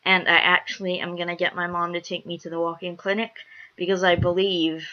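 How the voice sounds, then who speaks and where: A young woman vlogger talks in a slightly low voice, stuffed up with a cold. She rambles casually to her viewers, restating phrases for emphasis. The recording is a little dull and lo-fi. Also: little pitch movement, a little fast, bright, forceful tone. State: congested from a cold.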